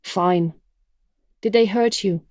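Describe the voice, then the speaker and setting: A woman's voice: medium pitch, slow pace, a little mellow, no echo, clean studio sound. Woman playing firm, guarded warrior noblewoman. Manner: firm, terse, serious. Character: resolute, guarded young noblewoman warrior protecting her companions. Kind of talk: audio drama acting.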